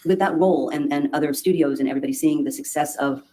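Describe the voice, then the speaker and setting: Halting woman giving courtroom testimony. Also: sits low, mellow, slight background noise. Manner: halting, many restarts and fillers. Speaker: trial witness.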